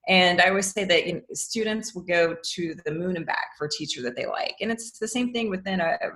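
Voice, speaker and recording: A woman's voice: on the low side, fast talker, a bit bright. A woman giving conversational interview answers as a guest, telling anecdotes and chuckling as she talks. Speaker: podcast guest, educator and author.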